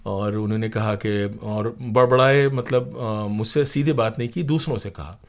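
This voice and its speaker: A man's voice: lowish voice, unhurried, vocal fry. Older man telling anecdotes. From India. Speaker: interview guest, broadcaster and playwright. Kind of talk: conversational anecdotal storytelling.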